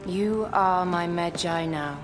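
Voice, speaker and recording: A woman's voice: lowish voice, slow, over music. A woman playing a regal, commanding ruler of ancient Egypt in a video game. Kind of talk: dramatic video game acting.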